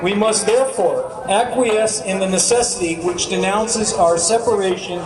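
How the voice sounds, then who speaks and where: A man's voice: high-pitched, normal speed, music in the background. An American man reciting and speaking publicly to a gathering. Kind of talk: public recitation and address to a crowd. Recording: echoey, distant mic amid crowd noise.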